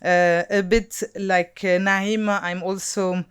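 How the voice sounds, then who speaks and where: A woman in her thirties from Lebanon, whose first language is Arabic, giving a design talk in a thoughtful, halting way with many pauses and fillers. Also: medium pitch, flat delivery, smooth. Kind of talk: conference talk.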